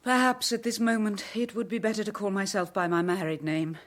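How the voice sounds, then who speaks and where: An actress playing a composed, resolute leading lady and widow in a radio drama, speaking formally and deliberately. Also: mid-range voice, steady tone, close to an everyday voice. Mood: grave, composed.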